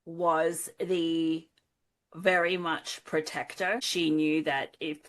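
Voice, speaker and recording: A woman's voice: sits low, level tone, slow. A woman co-hosting a podcast, discussing a book in a casual, conversational way. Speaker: book club podcast co-host.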